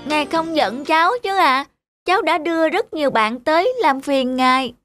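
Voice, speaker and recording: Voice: sits high, expressive, moderate pace, a bit bright. A performer voicing a humble, polite young shoeshine boy in a cartoon, earnest and timid. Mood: timid, disbelieving. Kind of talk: animated moral tale dialogue. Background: background music.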